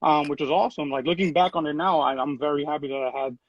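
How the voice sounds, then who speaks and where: A man in his thirties, a city program director, answering interview questions in a relaxed way with lots of fillers and restarts. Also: fairly high pitch, fast talker, no room sound, muffled recording. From Puerto Rico.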